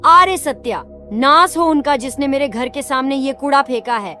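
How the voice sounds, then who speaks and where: A woman's voice: sits high, normal speed, bright, forceful tone, soft background music. A woman voicing an outraged, scolding village housewife in a cartoon story.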